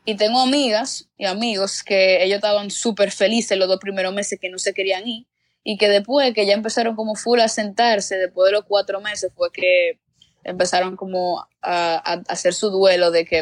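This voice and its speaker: A woman's voice: mid-range voice, fairly even intonation, normal speed, no echo. Young dominican woman, earnest and reflective, repeats herself while thinking, dry close sound. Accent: Dominican Spanish. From Dominican Republic. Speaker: guest, exchange student abroad. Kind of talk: casual conversation.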